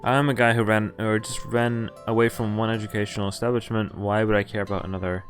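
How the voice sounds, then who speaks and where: A man's voice: fairly low pitch, level tone, fast talker. A man streaming a visual novel, reading the dialogue aloud and commenting casually in a dry, sardonic way. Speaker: game streamer. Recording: game music clearly behind voice. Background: game music.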